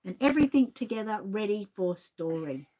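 A woman in her forties with an Australian accent, reading a storybook aloud to a toddler in a gentle, coaxing way, seated indoors close to the camera. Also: mid-range voice, animated, fairly quick, low-quality recording. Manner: gentle, sing-song, coaxing. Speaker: channel host and grandmother. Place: indoor room with shelves, seated. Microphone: fixed camera at close range.

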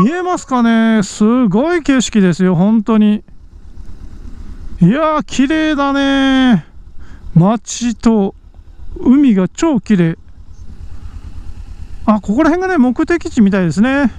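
A man's voice: extremely high pitch, slow, pauses often, smooth, no echo. A man hosting a motorcycle vlog, narrating casually and exclaiming at the views while riding on a mountain road. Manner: excited, frequent exclamations at the scenery. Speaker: motovlog host. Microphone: helmet mic on a moving motorbike.

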